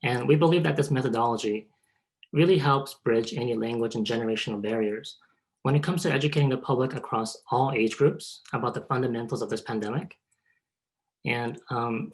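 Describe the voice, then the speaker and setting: A man's voice: medium pitch, rough recording. A man who works as a programmer, giving a prepared, orderly and polite walkthrough of a website in an online webinar. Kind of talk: software demo walkthrough, prepared explanation. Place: online video call.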